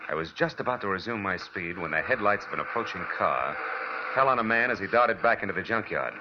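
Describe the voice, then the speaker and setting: A man's voice: fairly low pitch, lively intonation, brisk, heavy background noise, lightly acted. A man with an American accent playing a cynical, wisecracking private detective who narrates his own case in a 1940s radio drama. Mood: wry, driven. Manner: hardboiled, wry, slangy. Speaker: narrator and lead actor. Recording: muffled vintage radio broadcast with music.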